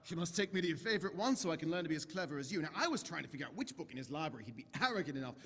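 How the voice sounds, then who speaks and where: A man playing an eccentric, inquisitive time-traveling doctor in a staged audio drama, chatty and brisk with theatrical swings. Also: on the high side, animated, creaky, a bit bright. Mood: brisk, curious. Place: large convention hall stage. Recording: echoey hall stage recording with noise.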